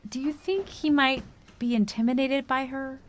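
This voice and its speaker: An actress plays a driven, prickly professional woman in a romantic comedy radio play. Her voice sits fairly high, and she delivers her lines bluntly and dryly, with little patience. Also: steady tone, normal speed, close to an everyday voice. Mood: dry, matter-of-fact. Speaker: lead actor and first-person narrator.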